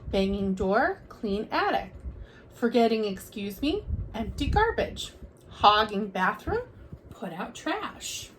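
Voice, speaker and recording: A woman reading a children's picture book aloud like a teacher, with expressive, deliberate delivery. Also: mid-range voice, a little hiss or hum, low-quality recording.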